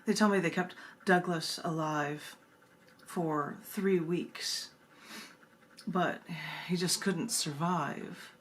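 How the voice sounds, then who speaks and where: A woman's voice: lowish voice, fairly even intonation, slow. A woman poet hosting a reading, reciting poetry and chatting about it with emphatic phrasing. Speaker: poet and host of a book reading. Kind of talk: poetry recitation with casual commentary between poems. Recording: clear background noise.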